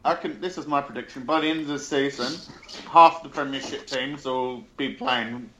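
A man's voice: medium pitch, moderate pace, a bit bright, slight background noise. A man giving his views in a casual football podcast chat, with slightly dull, lo-fi audio.

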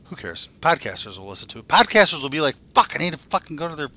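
A man's voice: sing-song, fast talker, bright, forceful tone. A man in his forties co-hosting a podcast, talking in a casual, scattered, rambling way with a lot of swearing. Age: 40s. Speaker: podcast co-host. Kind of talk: casual, unscripted chat. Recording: faint rumbling background noise.

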